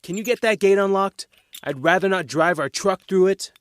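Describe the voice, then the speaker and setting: A man's voice: high voice, moderate pace, fairly bright tone, smooth. A man playing a nervous, glib teenage boy troublemaker in an audio drama, full of excuses. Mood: hushed, focused.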